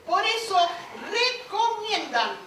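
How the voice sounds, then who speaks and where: An actress playing a chirpy radio announcer with a sing-song delivery on stage. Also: female voice, very high voice, big pitch swings, slow, bright, forceful tone, a little hiss or hum, full character voice. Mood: announcing. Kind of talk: comic stage acting as a 1950s radio announcer. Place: theatre stage. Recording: echoey stage sound from far off.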